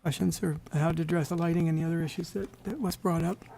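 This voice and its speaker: Slightly hoarse American man, even and mellow. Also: a little high, slight background noise. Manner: informal, halting. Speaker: meeting chair. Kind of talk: presiding over a public board meeting. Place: town board meeting room.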